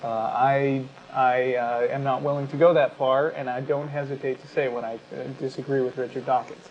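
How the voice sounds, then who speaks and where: A man co-hosting an unscripted talk show, explaining his views in a measured, halting way. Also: mid-range voice, level tone, darkish tone. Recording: dull lo-fi sound with clear background noise.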